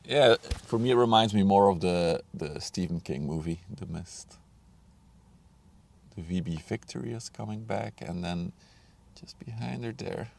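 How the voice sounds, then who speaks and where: Low creaky guy, bit hoarse, mutters to himself setting up cameras outside. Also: a little mellow, a little hiss or hum. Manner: chatty, muttering to himself while setting up gear. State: slightly hoarse from a cold. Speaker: ship-spotting livestreamer. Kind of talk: casual live commentary. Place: outdoors on a riverbank.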